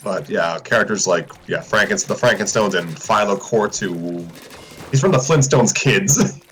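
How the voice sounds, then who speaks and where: A man's voice: on the low side, animated, unhurried, fairly bright tone. A man chatting casually in a group, explaining trivia in a matter-of-fact way. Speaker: show regular discussing cartoon lore. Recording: music playing behind the voice. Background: music.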